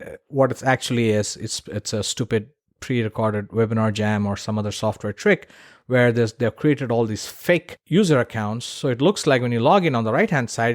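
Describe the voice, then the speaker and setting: A man's voice: mid-range voice, dry recording, studio quality. A man hosting a solo podcast, giving opinionated advice in a blunt, informal and emphatic way. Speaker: podcast host.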